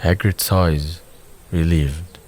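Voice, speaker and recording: A man narrating a scripted audio drama in a measured, descriptive manner. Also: sits low, fairly even intonation, darkish tone.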